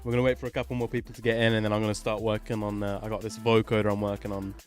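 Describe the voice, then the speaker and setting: A man's voice: on the low side, little pitch movement, racing, faint music behind. A man casually chatting on a livestream while he works, informal and sweary, often muttering to himself. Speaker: livestream host, music maker.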